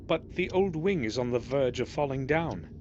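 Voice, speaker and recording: Game role: male actor as earnest questioning man, very animated intonation, muffled audio with heavy noise. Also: medium pitch, normal speed, close to an everyday voice. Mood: insistent, suspicious. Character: earnest suspicious man returning to family castle. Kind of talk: scripted video game voice acting.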